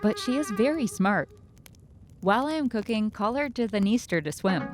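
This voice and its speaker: A woman as a shrewd serpent mother, medium pitch, insistent, motherly, scheming, cartoon fairy tale, music clearly behind. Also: lively intonation, moderate pace, close to an everyday voice. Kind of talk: children's fairy tale dramatization.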